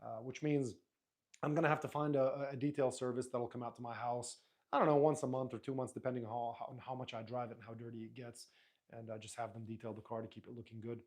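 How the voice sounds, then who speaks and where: A man whose first language is Arabic, hosting a live stream in a relaxed, rambling way, reading viewer comments aloud and answering them. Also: medium pitch, quick pace. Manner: relaxed, rambling, frequent restarts and fillers.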